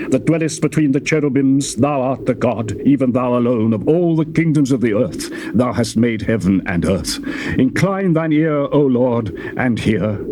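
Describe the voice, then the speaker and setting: An actor playing the prophet Isaiah, reading scripture in a measured, solemn and stately way for a cassette Bible drama. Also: male voice, medium pitch, close to an everyday voice. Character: the prophet Isaiah, grave male narrator of scripture. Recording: cassette tape with clear hiss and noise.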